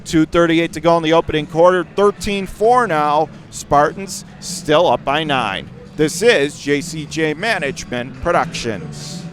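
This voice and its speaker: A man calling live radio basketball play-by-play in clipped phrases that can burst into excited calls. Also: a little high, measured pace, hardly any pauses. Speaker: play-by-play announcer. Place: courtside in a high school gym during a game.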